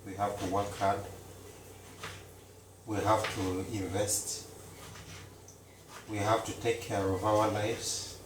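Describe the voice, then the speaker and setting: Slow, deep man in an echoey kitchen. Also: flat delivery, far from the mic, noisy background. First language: Luganda. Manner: slow, repetitive, chatty, addresses viewers directly. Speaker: cooking vlogger. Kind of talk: casual live-stream chat. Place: home kitchen in Canada.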